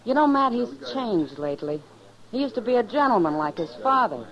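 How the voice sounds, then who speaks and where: A woman's voice: on the high side, sing-song, brisk. An actress playing a frank, unruffled saloon woman with an American accent, in a muffled 1950s radio western. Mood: wry. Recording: muffled old radio recording, saloon crowd noise.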